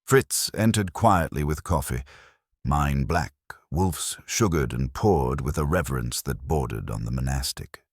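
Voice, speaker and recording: Very deep-voiced man narrating, husky, dry sound. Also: animated, measured pace, dry recording. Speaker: audiobook narrator. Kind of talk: scripted first-person detective story narration.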